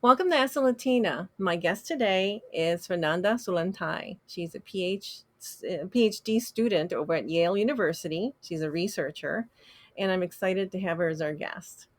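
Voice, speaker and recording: Woman host asking halting questions. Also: on the low side, no echo. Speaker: interview host. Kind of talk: casual interview.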